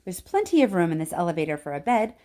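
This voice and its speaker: A woman's voice: lowish voice, sing-song, quick pace, mellow. A woman voicing an eccentric, eager old male factory owner with an emphatic, cheerful, insistent way of talking in a storybook reading. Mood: excited, urgent. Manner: emphatic, exclamatory.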